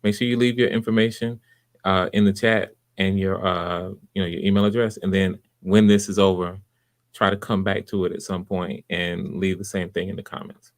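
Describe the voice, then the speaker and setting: A man hosting live auditions in casual, unscripted chat, with an encouraging manner and a halting way of repeating and backtracking. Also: low voice, level tone, no room sound. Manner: encouraging, supportive. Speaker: host running live voice auditions.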